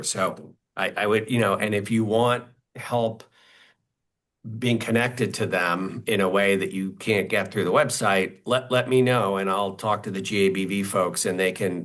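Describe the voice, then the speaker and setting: A man's voice: low voice, fairly bright tone. A man as guest speaker answering questions informally on a video call, often repeating words and saying "like" and "you know".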